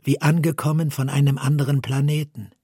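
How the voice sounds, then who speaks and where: A man's voice: medium pitch, little pitch movement, a little fast, warm tone, slightly rough, no echo, clean studio sound. A man reading literary narration in the manner of a radio story.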